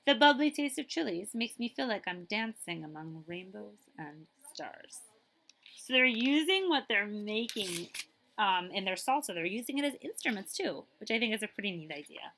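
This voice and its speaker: A woman's voice: mid-range voice, sing-song, normal speed, pushed and bright. A woman school librarian reading a children's picture book aloud expressively, with chatty asides to her listeners.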